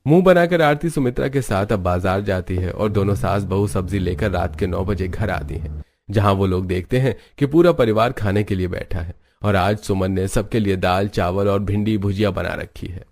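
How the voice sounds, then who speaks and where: A man's voice: sits low, moderate pace, darkish tone. A man narrating a scripted moral story, with noise in the recording.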